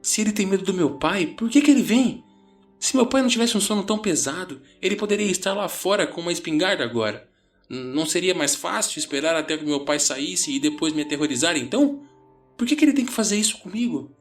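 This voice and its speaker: A man's voice: on the high side, lively intonation, quick pace, a bit bright, faint music behind, voice pushed up. The terrified kid in a late-night horror podcast , played by a man, stammering and complaining in a raised voice over a faint score. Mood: exasperated. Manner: stammering, ranting. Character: panicked, whiny sixteen-year-old boy, frantic and exasperated. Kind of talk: horror audio story character voice.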